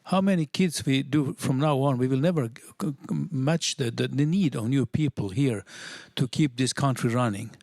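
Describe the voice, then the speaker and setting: Native Swedish speaker, a man, audience comment in English; earnest, halting delivery, close dry sound. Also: medium pitch, darkish tone, dry recording. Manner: halting, many restarts, earnest and persuasive. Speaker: audience member at a seminar. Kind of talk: spontaneous public comment.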